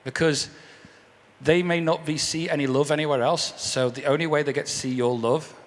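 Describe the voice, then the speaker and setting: Hoarse man giving a church testimony. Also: a little high, fairly even intonation, normal speed. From England. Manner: earnest, repeats words when restarting. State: hoarse, croaky voice. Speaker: guest speaker. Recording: echoey hall with faint ambience.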